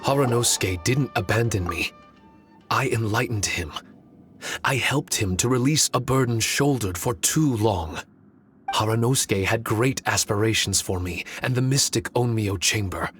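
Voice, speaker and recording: Slow, solemn male guardian spirit, acted by a man. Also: medium pitch, fairly bright tone, lightly acted. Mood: wistful, resolute. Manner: measured, formal, reflective delivery. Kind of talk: scripted dramatic game dialogue.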